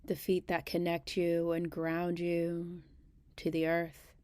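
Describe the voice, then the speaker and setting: A woman guiding a meditation in a gentle, unhurried manner, leaving long pauses between short phrases. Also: fairly low pitch, monotone, smooth, dry recording. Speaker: meditation guide. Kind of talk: guided body scan meditation.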